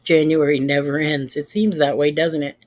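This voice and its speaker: A woman's voice: deep voice, little pitch movement, normal speed, a little mellow. Plain-spoken woman in her fifties. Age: 50s. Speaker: livestream host. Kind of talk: casual chat with live viewers.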